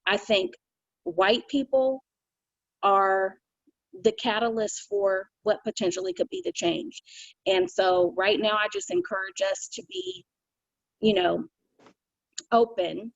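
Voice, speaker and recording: A woman speaks in a medium voice over a video call, earnest and reflective, often stopping to restart her thought. The audio is dull and lo-fi with a dry, close sound and no room echo. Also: mid-range voice. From United States. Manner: earnest, thoughtful, many restarts and "you know". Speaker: community call participant.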